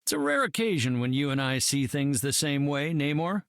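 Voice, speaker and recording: A brilliant, self-assured scientist hero in a video game. He speaks in articulate, formal phrases with expressive intonation, recorded dry. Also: on the high side, moderate pace, clear, resonant tone, no room sound. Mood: guarded. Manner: articulate, formal, matter-of-fact.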